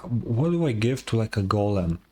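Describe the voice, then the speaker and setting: Low-voiced man casually streaming a game. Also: big pitch swings, normal speed, warm tone. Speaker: streamer voicing game dialogue. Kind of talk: casual gameplay commentary.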